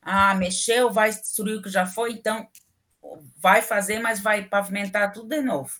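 Woman, middle-aged, southern Brazilian accent, podcast guest, chatty, opinionated, mid pitch. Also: steady tone, moderate pace, a bit bright. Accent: southern Brazilian Portuguese. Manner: chatty, opinionated, many fillers. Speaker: civil engineering professor, guest. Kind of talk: casual interview talk.

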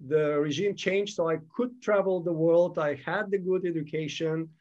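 A man of about fifty from Bulgaria, answering interview questions in halting, phrasing and pausing to find his words. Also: fairly high pitch, fairly even intonation, darkish tone, lo-fi sound. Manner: halting, non-native phrasing, pauses to search for words. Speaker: guest.

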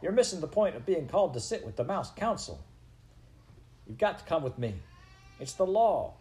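A man voicing a bossy, impatient young male mouse in a storybook, loud, urgent and scolding. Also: lowish voice, sing-song, fairly quick. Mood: scolding, exasperated.

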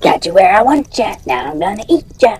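A podcast host voicing a gross monkey that taunts from a closet in a quick sing-song chant, reading a campfire story. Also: medium pitch, sing-song, darkish tone, breathy edge, faint music behind, voice pushed up. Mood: taunting.

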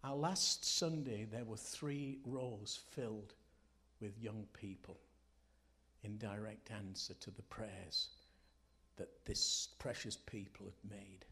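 Slow, deliberate man preaching. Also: fairly low pitch, fairly even intonation, vocal fry, pushed and bright. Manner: earnest, deliberate, emphatic phrasing with dramatic pauses. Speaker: guest preacher, former pastor. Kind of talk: Sunday morning sermon, storytelling.

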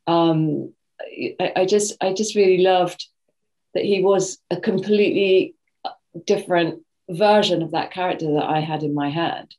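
A woman's voice: low voice, a little mellow. A woman from New Zealand with a British RP accent, an actress thoughtfully answering interview questions and searching for her words. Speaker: actress guest.

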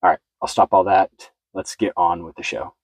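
A man's voice: sits low, little pitch movement, brisk, slightly rough, no echo. A man hosting a podcast, talking in a friendly, loose way with lots of fillers, recorded in a podcast studio. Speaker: podcast host. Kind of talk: casual interview chat.

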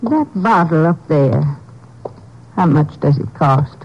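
A woman's voice: low voice, expressive, slow, darkish tone, a little hiss or hum, heavily acted. An elderly woman in an old radio play, troubled and worn down. She speaks politely and hesitantly in a subdued way, through a dull vintage broadcast recording. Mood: subdued, guarded. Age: older. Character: weary, troubled elderly woman searching for a man. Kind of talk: 1950s radio drama acting.

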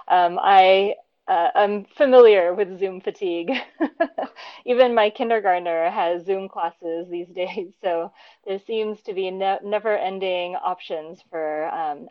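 A woman in her thirties answers interview questions at an easy pace, pausing often on "um" and laughing lightly as she thinks. Her voice sits at a middle pitch. The recording is dry and a little dull. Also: no echo. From born in Japan, raised between the US and Japan. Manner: warm, thoughtful, frequent ums and light laughs. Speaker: interview guest, program teacher.

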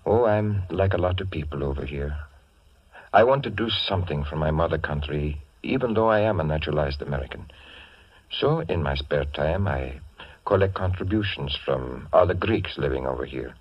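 A courteous, earnest Greek immigrant man in a 1940s radio play, with a very deep, rather husky voice. He speaks politely and guardedly, on a muffled vintage recording. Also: expressive, normal speed, no room sound, heavily acted. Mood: earnest, confiding. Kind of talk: 1940s radio drama acting.

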